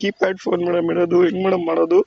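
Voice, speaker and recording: A man's voice: high voice, little pitch movement, racing, gravelly, a little mellow, lo-fi sound. A man on a prank call, playing a pleading, deferential homeless teenage boy who failed school. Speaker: prank caller.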